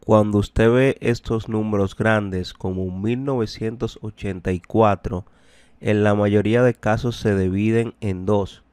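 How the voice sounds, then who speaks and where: A man teaching a bilingual language lesson, deliberately explaining, translating and repeating phrases. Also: fairly low pitch, steady tone, darkish tone, clear, resonant tone, no echo. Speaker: English teacher.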